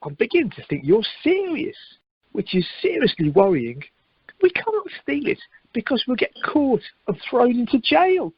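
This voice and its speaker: A woman's voice: on the high side, very animated, normal speed, husky, no echo. A woman playing a sensible, exasperated young husband in an amateur radio play over the telephone. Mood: incredulous, worried. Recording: muffled telephone conference line.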